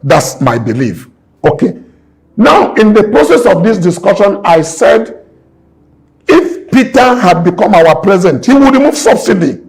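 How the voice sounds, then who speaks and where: A man's voice: sits high, big pitch swings, a little slow, husky, poor audio. A man in his sixties, a businessman guest in an interview, talking emphatically and repeating his points like a preacher. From Nigeria. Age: 60s. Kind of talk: impassioned interview talk.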